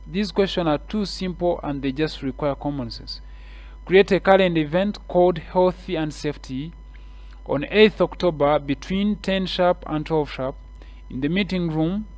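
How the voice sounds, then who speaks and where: A man with a Kenyan English accent, guiding viewers step by step through a screen-share tutorial with relaxed, repeated explanations and frequent fillers. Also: fairly high pitch, moderate pace, no room sound.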